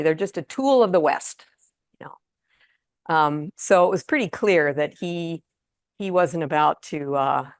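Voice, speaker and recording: A woman's voice: low voice, no echo, studio quality. A retired older woman giving a casual lecture to an audience, with many fillers and restarts. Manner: conversational, frequent fillers and restarts. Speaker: guest lecturer. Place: lecture room.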